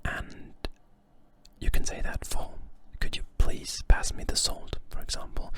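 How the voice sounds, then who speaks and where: Whispering young language teacher. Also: a little slow. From Germany. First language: German. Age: young adult. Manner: informal, rambling explanations with careful repeated pronunciation. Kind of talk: whispered ASMR-style language lesson.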